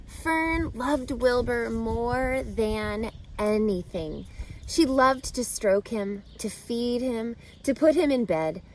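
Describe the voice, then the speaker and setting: A woman reading a children's storybook aloud with expressive, deliberate phrasing that stretches and stresses key words. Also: on the high side, slight background noise, rough recording. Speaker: storybook reader.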